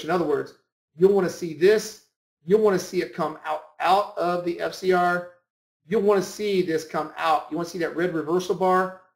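A man with a fairly high-pitched voice teaches informally over a screen share. He explains step by step, restating his points as he goes. The recording is distinctly echoey, as in a reflective room. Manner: instructional, repeats and rephrases points. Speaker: trading room instructor. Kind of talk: informal screen-share lesson on chart trading. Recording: roomy, echoing voice recording.

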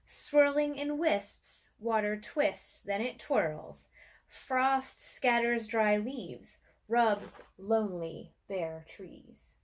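Slow-paced woman reading a picture book. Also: a little high, low-quality recording. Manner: measured, deliberate phrasing with pauses. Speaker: museum educator reading aloud. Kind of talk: expressive children's picture-book read-aloud. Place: small furnished room with armchair and bookshelf, indoors.

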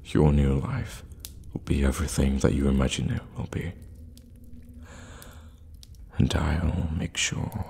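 Man playing a very deep, seductive evil warlock. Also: steady tone, dark tone, heavily acted. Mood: possessive, soothing. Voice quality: breathy low murmur, often whispering. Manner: slow, teasing, halting for effect. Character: seductive, menacing, sadistic male evil warlock. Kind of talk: intimate ASMR roleplay acting. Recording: long reverberant cave-like echo.

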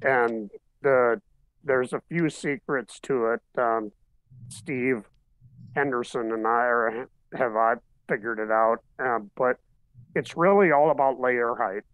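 A man in his fifties on a video call from his living room, casually showing off 3D printed model car bodies in a relaxed, matter-of-fact way. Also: mid-range voice, slow pace, no echo, poor audio. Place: home living room, seated in armchair. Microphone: webcam on video call.

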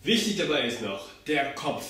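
A man's voice: on the high side, sing-song, moderate pace, bright, forceful tone. A young man giving a step-by-step demonstration as an instructor, physically active as he talks, recorded by a camera mic across a bare room. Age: young adult. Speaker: circus pantomime instructor. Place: bare indoor room with hard walls. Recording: very echoey room, far from mic.

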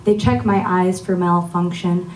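A young woman, the featured poet, speaking at a podium mic in a community arts center, introducing herself and then reading a spoken word poem from her phone in a measured way. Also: fairly low pitch, fairly even intonation, a little mellow, a little hiss or hum. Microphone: podium mic on a stand. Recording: echoey room, mic heard from a distance.

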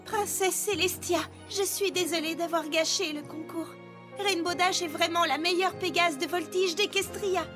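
A woman's voice: extremely high pitch, fairly even intonation, normal speed. A woman voicing a refined, dramatic female pony in a French cartoon dub, with flowery, theatrical delivery. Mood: remorseful. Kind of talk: French-language cartoon dubbing. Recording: music behind the voice.

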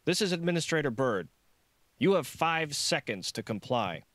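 A man's voice: on the high side, very animated, normal speed, a bit bright, no echo, pitched above the performer's own voice. Man playing audiobook administrator, male, stern, terse, authoritative, dry close recording. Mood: threatening. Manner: curt, authoritative.

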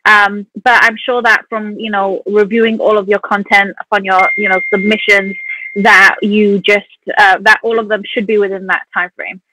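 A woman's voice: medium pitch, little pitch movement, normal speed, pushed and bright, a little hiss or hum, rough recording. A woman co-hosting an online poetry competition, explaining the rules in a chatty way with many fillers. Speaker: competition co-host. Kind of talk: casual live-stream hosting. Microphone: online call.

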